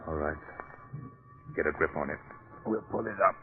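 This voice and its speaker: An actor playing a commanding, businesslike police investigator in an old-time radio drama, terse and authoritative. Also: male voice, rumbling low, moderate pace, narrow, tinny audio, faint music behind. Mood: guarded, directing. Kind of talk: 1940s radio drama acting.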